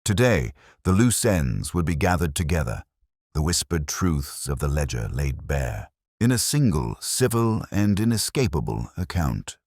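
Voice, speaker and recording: A man narrating a scripted mystery story in a measured, literary way. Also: very deep voice, very animated, darkish tone, breathy edge, no room sound, clean studio sound.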